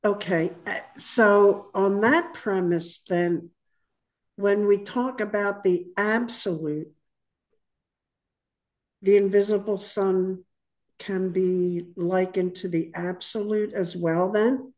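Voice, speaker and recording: A woman's voice: medium pitch, fairly even intonation, slow, a little mellow. A woman relaying questions for her group in a meeting hall, polite and hesitant, checking that she has understood. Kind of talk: group discussion.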